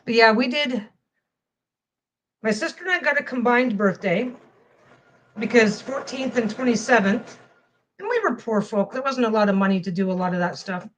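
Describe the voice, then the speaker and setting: A woman's voice: on the high side, lively intonation, moderate pace, long pauses, a little hiss or hum, rough recording. A woman vlogging casually as she sews a quilt panel at home, rambling and often restarting her phrases. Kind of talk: casual chat while sewing.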